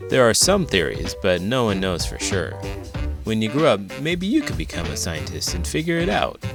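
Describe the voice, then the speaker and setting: Man as a patient, animated scientist uncle. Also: lowish voice, normal speed, vocal fry, over music. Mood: encouraging. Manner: explanatory, patient. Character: patient, kindly scientist uncle explaining to nephew.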